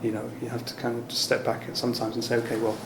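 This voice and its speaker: A man conducting a relaxed, informal interview in a flat, even tone. His voice is medium-pitched, recorded in an echoey space with noticeable background noise. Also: very fast. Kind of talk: casual interview chat.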